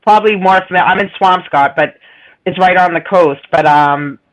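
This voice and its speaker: A woman with a raspy voice and a strong Boston accent, chatting casually and laughing a lot. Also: lowish voice, moderate pace, rough recording. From Massachusetts, USA. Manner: chatty, laughs often, repeats herself. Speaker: chat room participant. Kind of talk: casual chat.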